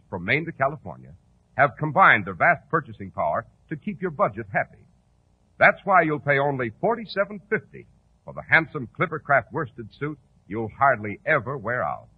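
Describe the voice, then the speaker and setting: A man with an American accent announcing and pitching for a sponsor in polished, emphatic 1940s radio style. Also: very animated, moderate pace, slightly rough. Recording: tinny, narrow old radio recording.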